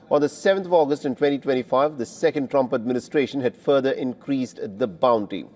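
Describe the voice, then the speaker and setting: A man anchoring live breaking news, reading the background and then interviewing a guest in a measured, clear newsreader delivery. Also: mid-range voice, a little mellow.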